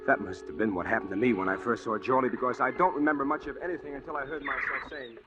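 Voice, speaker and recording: A man's voice: mid-range voice, fast talker, lo-fi sound, close to an everyday voice. Man as lonely, brooding guy over music. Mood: brooding, wistful. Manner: plainspoken, brooding, hesitant. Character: lonely, wistful, awkward city guy, drifting and homesick. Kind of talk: 1940s radio drama acting, first-person narration. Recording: old 1940s radio broadcast recording.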